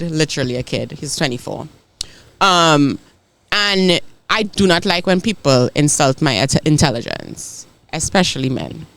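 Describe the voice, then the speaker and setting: A woman's voice: sits low, no room sound. A young adult woman with an accent, a podcast co-host telling stories in casual chat. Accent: non-native English accent. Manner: animated, emphatic storyteller.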